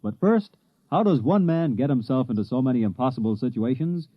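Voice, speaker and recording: A man's voice: mid-range voice, expressive, brisk, no room sound. An American man delivering a scripted promo as a 1950s radio announcer. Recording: dull vintage 1950s radio recording.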